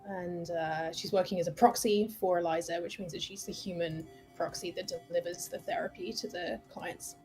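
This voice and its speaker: A woman's voice: lowish voice, fairly even intonation, normal speed, hardly any pauses, darkish tone, soft background music, rough recording. A woman chatting casually as co-commentator on a game playthrough, with a dry, thoughtful manner. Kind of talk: casual chat.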